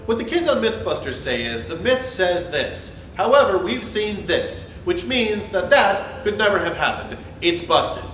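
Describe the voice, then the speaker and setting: A man's voice: high-pitched, big pitch swings, a little slow, few pauses, a bit bright, recorded from a distance. A man giving an emphatic, sarcastic dramatic reading of an argumentative script. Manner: theatrical, emphatic, sarcastic delivery. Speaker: host reading his own essay. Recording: roomy, off-mic sound with background music.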